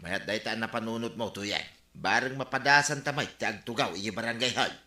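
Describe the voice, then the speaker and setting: A man's voice: on the low side, a little fast, sharp tone. An actor playing an ambitious, conniving village man in a Filipino comedy radio drama, with an Ilocano accent. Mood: boastful. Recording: roomy echo, music underneath.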